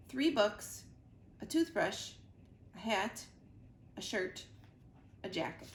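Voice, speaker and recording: A woman reading a children's book aloud in an expressive, animated storytelling manner. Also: mid-range voice. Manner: animated, acts out dialogue. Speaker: reader of children's books at a library. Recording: echoey room, clear background noise.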